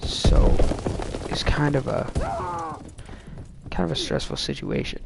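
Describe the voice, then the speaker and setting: Young male vlogger with a gravelly voice, rambling through a story, with loud game music behind him. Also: mid-range voice, very animated, slow pace. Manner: rambling, many fillers and restarts. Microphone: desk microphone.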